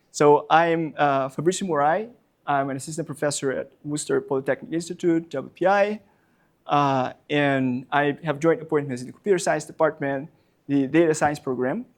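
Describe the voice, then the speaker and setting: A man in his thirties from Brazil, a non-native speaker with a Brazilian Portuguese accent, giving a public lecture as a university professor in a library talk room, hesitant, with many fillers and repeated words. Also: fairly high pitch.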